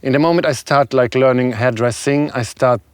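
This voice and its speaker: Halting, reflective man with a European accent. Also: mid-range voice, clean tone, dry recording. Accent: Continental European. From Serbia, moved to Germany as a child. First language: not English. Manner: halting, reflective, non-native phrasing. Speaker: guest, shaman and spiritual healer. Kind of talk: conversational interview talk.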